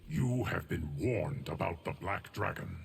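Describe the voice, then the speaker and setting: A slow, very gravelly warrior. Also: male voice, sits low, lively intonation, heavily acted. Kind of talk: video game fight intro dialogue. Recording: echoey hall reverb, faint noise.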